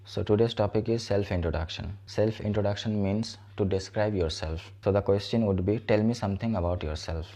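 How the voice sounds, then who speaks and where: Man, low and mellow, teacher who checks in with "right?". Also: brisk, hardly any pauses. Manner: explains step by step with frequent "so" and "right?". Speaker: English teacher. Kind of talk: spoken English lesson.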